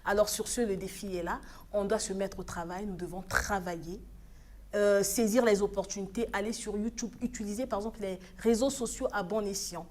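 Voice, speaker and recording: A woman's voice: medium pitch, fairly even intonation, fairly quick, a little mellow. A woman in her thirties from the Republic of the Congo, speaking as a studio guest on a TV news program in an earnest, exhorting way with hesitant restarts. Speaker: studio guest, African Union official.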